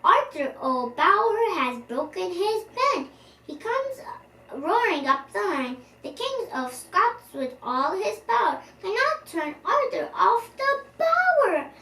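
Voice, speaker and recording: A cheeky little storybook squirrel acted out by a young girl chants rude riddles in a high, swooping sing-song. The delivery is taunting, and the sound is roomy. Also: sing-song, slow, pushed and bright, exaggerated voice. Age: child. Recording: roomy, distant microphone, faint background noise.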